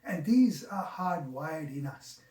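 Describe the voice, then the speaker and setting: A man in his sixties with a Sri Lankan accent gives a reflective, explanatory talk in an earnest, halting manner, stressing key words. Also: fairly high pitch, animated, a little mellow. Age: 60s. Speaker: mindfulness teacher. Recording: roomy, echoing, distant microphone.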